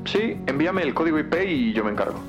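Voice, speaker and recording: A man's voice: fairly high pitch, sing-song, quick pace. A man playing a confident tech investigator reporting over a phone call in a scripted crime audio drama. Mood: brisk, self-assured. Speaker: supporting character. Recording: loud music behind the voice. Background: music.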